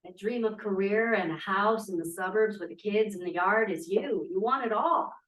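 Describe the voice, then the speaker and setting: A woman's voice: medium pitch, moderate pace, a bit bright, close to an everyday voice. A woman acting an earnest, pragmatic young feminist activist in a stage play table read. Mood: concerned, frank. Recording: echoey room, distant mic.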